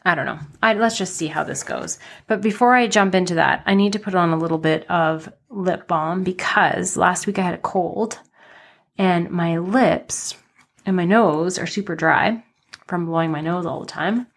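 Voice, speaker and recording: Podcast host, woman in her 30s, lower pitch, chatty rambling delivery with a slight cold in the nose. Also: moderate pace, creaky. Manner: chatty, rambling with many fillers and restarts. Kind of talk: casual personal storytelling.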